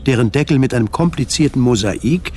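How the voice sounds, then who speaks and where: A male narrator reads scripted prose for a radio drama. His delivery is measured and literary at a middle pitch, with faint noise in the background. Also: expressive, darkish tone.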